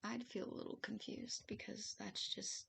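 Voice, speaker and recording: A woman with a raspy voice streaming as she writes, thinking aloud hesitantly with many fillers and soft laughs. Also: medium pitch, fairly even intonation, moderate pace. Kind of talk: casual live-stream musing while writing. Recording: faint hiss behind the voice.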